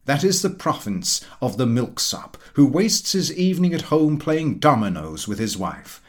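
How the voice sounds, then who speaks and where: A man acts out a self-important American gentleman from a comic storybook, holding forth like a lecturer. His delivery is grand, opinionated and declamatory, with widely swinging intonation at a medium pitch. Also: very animated, moderate pace, lightly acted. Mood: dismissive. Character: pompous, forceful American man lecturing fellow dinner guests. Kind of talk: storybook character dialogue.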